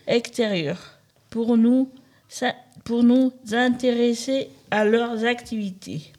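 A woman in her fifties reading prepared statements slowly and carefully on a community radio show, restarting words at times. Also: fairly high pitch, darkish tone. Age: 50s. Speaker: day centre participant.

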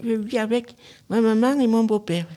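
A woman's voice: fairly high pitch, moderate pace, warm tone. A woman in her fifties reading simple prepared statements aloud as a radio show participant.

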